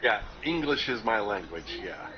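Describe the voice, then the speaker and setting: Deep-voiced male player, low pitch, dull lo-fi recording. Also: lively intonation, moderate pace. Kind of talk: casual tabletop play. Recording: dull lo-fi audio.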